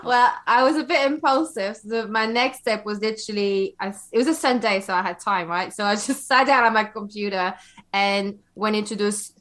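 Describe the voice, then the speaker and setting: A woman's voice: mid-range voice, normal speed, a bit bright. A woman in her forties from Belgium, a podcast guest who laughs easily and talks in a rambling, self-deprecating way. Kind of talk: interview chat.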